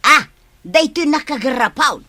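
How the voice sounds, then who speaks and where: A woman's voice: high-pitched, animated, a little slow, bright, forceful tone, exaggerated voice. A woman playing a shameless, fault-finding neighbour who mooches meals in an Ilocano comedy radio drama, speaking with an Ilocano accent in a brash, exclamatory way.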